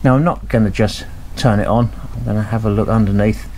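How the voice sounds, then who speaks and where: A man's voice: on the low side, fairly even intonation, a little fast, darkish tone, a little hiss or hum. A man, casually narrating as he inspects an old radio in his workshop, thinking aloud with frequent ums and hesitations. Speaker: radio restorer. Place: cluttered home workshop, indoors.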